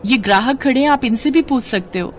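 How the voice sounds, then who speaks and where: A woman's voice: high voice, fairly quick, slight background noise, natural voice. A woman voicing a humble, hardworking poor street food seller in a story, earnest and respectful. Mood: worried, protesting. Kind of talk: storybook character acting.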